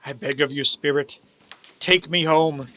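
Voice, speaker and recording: Male actor as old miser, anguished, pleading, dramatic, fairly high voice, radio play. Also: steady tone, a little slow, sharp tone, rough recording. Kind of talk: live-audience radio play acting.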